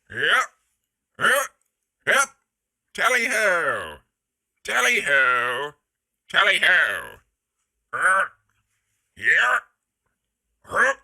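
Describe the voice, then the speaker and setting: A man voicing a sly, snide, well-mannered British gentleman zombie for a game, in short posh exclamations. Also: mid-range voice, vocal fry, no room sound, clean studio sound, exaggerated voice. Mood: jolly, excitable.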